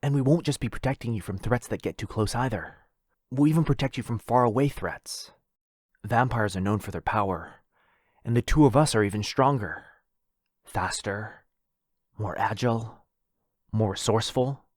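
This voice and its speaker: Casting: male actor as clingy, wounded vampire lover, low voice, close dry sound, brooding intensity, roleplay audio. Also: moderate pace, a little mellow, dry recording, natural voice. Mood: protective boasting. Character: needy, wounded, clingy yandere vampire brother. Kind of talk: boyfriend ASMR roleplay audio drama.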